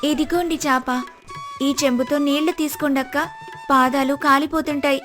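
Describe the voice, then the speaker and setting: A woman voicing a kind, polite poor village wife in a moral story, respectful and soft-hearted. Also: high voice, steady tone, moderate pace, over music, lightly acted. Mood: welcoming. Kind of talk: storybook dialogue acting.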